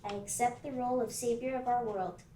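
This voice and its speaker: A woman's voice: on the high side, steady tone, fairly quick, reverberant, noisy background, natural voice. A woman voicing a hesitant, anxious young witch at a table read, stammering over her words. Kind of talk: table read of an animated pilot.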